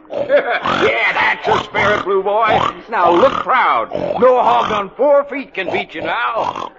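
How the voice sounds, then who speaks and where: A man's voice: high-pitched, big pitch swings, slow pace, creaky, very noisy, full character voice. An actor playing a folksy middle-aged American farmer father with a homespun drawl, in a 1950s radio musical. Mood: coaxing, proud. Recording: dull vintage 1950s radio recording, music behind.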